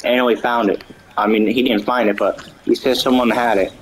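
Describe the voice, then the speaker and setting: A man chatting casually with friends while playing a battle royale game, reacting quickly and excitedly. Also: medium pitch, low-quality recording. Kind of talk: casual gameplay chat.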